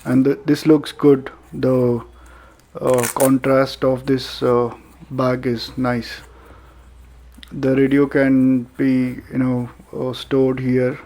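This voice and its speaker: Indian male reviewer, mellow and unhurried, hesitant delivery with lots of pauses, for a casual unboxing video. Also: medium pitch, level tone. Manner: halting, hesitant delivery with frequent filler pauses. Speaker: product reviewer.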